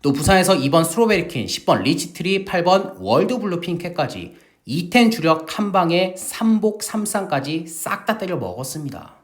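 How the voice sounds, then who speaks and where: A middle-aged man runs a horse racing tips show with emphatic, salesman-like drive. His fairly high voice swings up and down with lively intonation. He is just getting over a sore throat. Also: moderate pace. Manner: lively, emphatic salesman-like delivery, addresses viewers as family. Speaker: horse racing tipster host. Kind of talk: energetic betting-tips broadcast.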